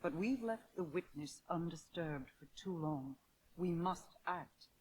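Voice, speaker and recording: A woman playing a regal, wary queen in a video game, speaking in a grave, measured, commanding way. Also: fairly low pitch, animated, a little mellow, a little hiss or hum, rough recording. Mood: urgent resolve. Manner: measured, solemn, stately. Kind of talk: dramatic game cutscene dialogue.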